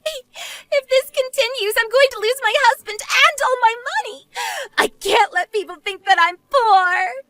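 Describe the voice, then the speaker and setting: A woman's voice: very high-pitched, sing-song, moderate pace, bright, forceful tone, no echo, exaggerated voice. A woman playing a spoiled, arrogant banker's wife in melodramatic scripted story dialogue, desperate and whiny. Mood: panicked. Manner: whiny, haughty, pleading.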